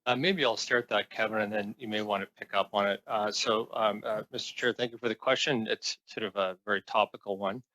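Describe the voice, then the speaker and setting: A man's voice: on the low side, steady tone, brisk, hardly any pauses, sharp tone, rough recording. A man speaking as a senior government official at a committee hearing, formal and diplomatic, with hesitant, often stumbling delivery. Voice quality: hesitant delivery, frequent stumbles and repeated words. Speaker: senior transport department official. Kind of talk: answering questions at a committee hearing.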